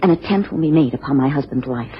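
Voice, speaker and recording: A woman's voice: sits low, lively intonation, fast talker. A woman playing an anxious, genteel American wife in a radio drama, speaking formally and earnestly with careful phrasing. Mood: worried. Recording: dull, noisy old radio recording.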